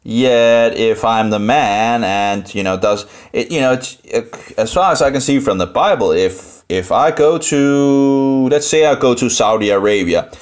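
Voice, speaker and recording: A Danish man with a Danish accent thinks aloud through informal Bible commentary, halting and rambling as he repeats phrases. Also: medium pitch. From Denmark. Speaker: live streamer studying the Bible.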